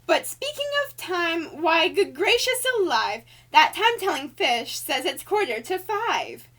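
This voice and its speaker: A very high-pitched cartoon angel lady, played by a woman, reads a children's storybook aloud. Her delivery is theatrical and sing-song, swooping widely through the rhymes and leaning hard on key words. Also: sing-song, a little slow, pushed and bright, full character voice. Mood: bright, excited. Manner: theatrical, exaggerated emphasis, showy. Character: Alice Angel, female cartoon angel reading a bouncy birthday storybook.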